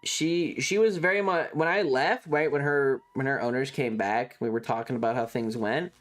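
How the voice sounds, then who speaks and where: Rambling delivery, casual stream chat, frequent swearing, emphatic repetition, medium pitch, background music. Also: normal speed.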